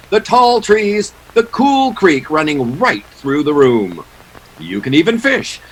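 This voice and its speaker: A man's voice: fairly high pitch, fairly bright tone, a little hiss or hum, rough recording. A man playing a showy 1940s radio announcer, reading scripted introductions and advertising like a polished salesman.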